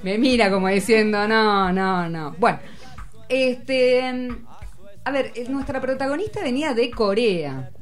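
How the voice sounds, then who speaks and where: A woman's voice: fairly high pitch, lively intonation, a little slow, soft background music. A woman with an Argentine accent hosting a school radio show, coaxing children with encouraging questions. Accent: Argentine Rioplatense Spanish. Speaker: radio host talking with schoolchildren. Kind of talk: casual classroom radio chat.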